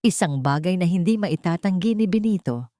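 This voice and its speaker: A woman storyteller delivers dramatic scripted narration. Her voice sits a little low and moves expressively through a wide pitch range, recorded close and dry. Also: lively intonation, brisk, warm tone, no room sound.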